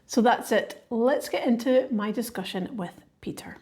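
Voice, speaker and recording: A woman's voice: on the high side, moderate pace, a little mellow. A woman hosting a podcast, introducing the episode and interviewing her guest with frequent listening sounds. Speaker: podcast host.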